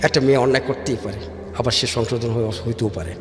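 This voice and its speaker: A man with a Bangladeshi accent telling his personal story as a radio interview guest, haltingly and often repeating words. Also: mid-range voice. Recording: echoey hall-like reverb with music. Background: music.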